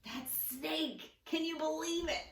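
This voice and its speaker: Woman voicing an excited storybook girl, echoey room. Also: high voice, a little slow, gravelly, pitched above the performer's own voice. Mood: amazed. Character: excited, friendly young girl cousins on a camping trip. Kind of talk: children's storybook reading. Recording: roomy, echoing, distant microphone.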